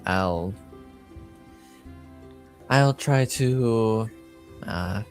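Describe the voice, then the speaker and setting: A man voicing a hesitant, self-conscious teenage noble girl in a fantasy roleplaying game, speaking haltingly. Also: fairly low pitch, faint music behind.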